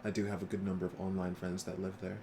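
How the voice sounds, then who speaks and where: A man's voice: deep voice, completely flat delivery, fast talker, vocal fry. An American man chatting casually as a game streamer on a live stream. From United States. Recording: noisy stream, game sounds behind.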